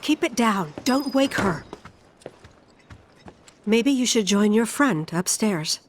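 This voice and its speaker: An actress playing a weary, protective middle-aged mother in a video game, measured and plain-spoken. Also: on the high side, expressive, a little hiss or hum. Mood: firm, hushed.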